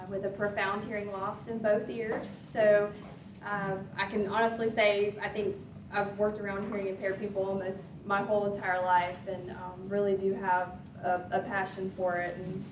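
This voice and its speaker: A woman's voice: medium pitch, noisy background. Lady giving a casual talk, lots of ums, far off mic in an echoey room. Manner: chatty, many fillers and restarts. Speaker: hearing specialist giving a talk. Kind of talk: informal community presentation. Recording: roomy, distant, dull sound.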